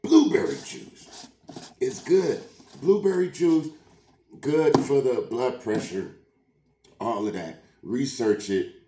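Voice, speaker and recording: A man's voice: mid-range voice, big pitch swings, slow pace, long pauses, background noise. Man with a sore throat ranting and preaching, keeps repeating himself, echoey room. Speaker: parent giving a monologue. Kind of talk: casual rant to camera.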